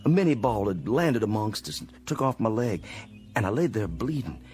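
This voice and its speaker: An actor playing a war-weary, decent Confederate sergeant in a radio drama, with a Southern American accent and a folksy, hesitant, earnest manner. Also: male voice, lowish voice, sing-song, moderate pace, a little mellow. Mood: urgent, pleading. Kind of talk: dramatic radio play acting. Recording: studio radio drama over faint music.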